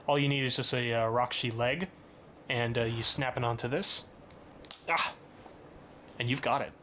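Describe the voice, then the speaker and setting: A man presenting a casual hobby how-to, talking in a halting, stop-start way with thoughtful pauses. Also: mid-range voice, fairly even intonation, bright, forceful tone. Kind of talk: casual tutorial narration. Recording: noticeable background noise.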